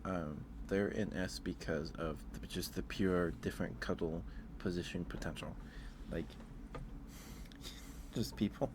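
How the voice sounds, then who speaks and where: A man's voice: sits low, fairly even intonation, a little slow, pauses often, noisy background. A man chatting casually with livestream viewers as host, musing aloud in an informal, hesitant way.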